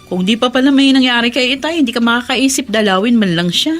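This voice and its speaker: A woman plays a dutiful Filipino daughter and elder sister in a radio drama. She speaks in a fairly high voice, reproachful and weighed down by responsibility. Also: fast, faint music behind, close to an everyday voice. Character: reproachful older sister, dutiful adult daughter burdened by caregiving.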